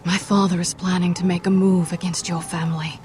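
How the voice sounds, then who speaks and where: A woman voice acting a guarded, earnest young noblewoman in a fantasy game drama, speaking in grave, measured, formal phrases. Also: on the low side, little pitch movement, crowd noise, natural voice. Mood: urgent, grave.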